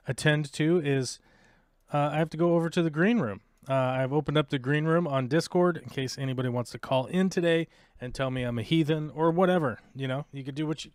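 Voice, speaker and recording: A man's voice: mid-range voice, no echo, studio quality. An American man hosting a live stream, chatting casually with lots of fillers and jokes. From United States. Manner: rambling, joking, many fillers. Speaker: live show host.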